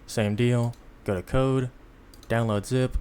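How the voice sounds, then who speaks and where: A man giving a casual, unscripted software tutorial in an informal, halting, step-by-step way, with background noise. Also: mid-range voice, fairly even intonation. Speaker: tutorial presenter.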